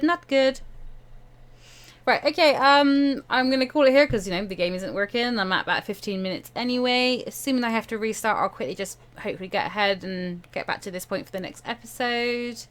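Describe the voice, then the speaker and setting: A woman's voice: on the high side, moderate pace, a bit bright, clean tone. A woman hosting a let's-play, giving casual, chatty game commentary with joking asides.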